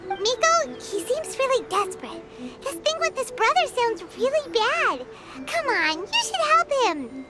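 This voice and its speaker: A woman voicing a tiny, eager, naive childlike fairy companion in a game, with a squeaky, excitable delivery. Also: a little slow, full character voice. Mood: pleading. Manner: excitable, exclamatory. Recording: loud background music.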